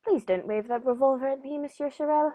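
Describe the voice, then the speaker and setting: A woman playing a composed, shrewd gentleman detective in a radio play, precise and dryly polite. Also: sits high, brisk, lightly acted. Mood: coolly dismissive.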